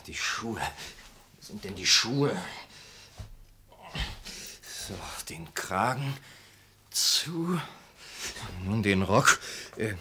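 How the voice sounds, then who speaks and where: An actor playing a travelling salesman in a radio play, muttering haltingly and repeating himself. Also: lowish voice, sing-song, bright, forceful tone, slight background noise, full character voice. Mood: hurried, flustered. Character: travelling textile salesman, drunk and guilt-ridden, then brisk. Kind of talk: German-language radio play acting.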